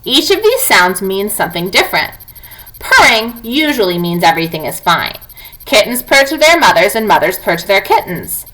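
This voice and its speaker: A woman with a bright, forward voice reads a children's non-fiction book aloud like a classroom teacher. Her pitch moves in lively swings, and she enunciates clearly as she speaks directly to young pupils. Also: normal speed, rough recording. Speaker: teacher reading aloud to students.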